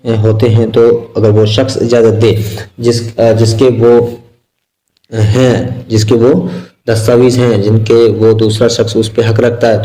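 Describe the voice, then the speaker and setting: A man with a Pakistani accent lecturing on exam material, explaining step by step in a halting, repetitive way with simple examples. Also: mid-range voice, dark tone, rough recording. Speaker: law lecturer.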